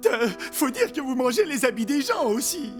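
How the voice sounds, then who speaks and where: A performer voicing a cheeky, naive, childlike animal friend in an animated cartoon. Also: high-pitched, moderate pace, soft background music.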